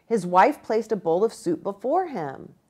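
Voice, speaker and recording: Casting: middle-aged American woman, children's story reader, very lively intonation, unhurried pace, mid-range pitch. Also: slightly rough. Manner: animated, deliberate, pausing within phrases. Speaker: pastor reading to children. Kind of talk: expressive children's storybook read-aloud. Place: small indoor room with bookshelf and armchair.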